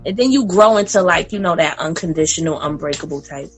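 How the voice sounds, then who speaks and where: A woman in her late twenties chatting casually as a podcast guest, animated and laughing, sometimes talking straight to the listeners. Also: deep voice, quick pace, faint music behind. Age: 20s.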